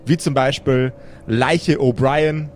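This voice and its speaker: A man's voice: mid-range voice, fairly even intonation, slow pace, a little mellow, slight background noise. A man narrating an improvised tabletop adventure as game master.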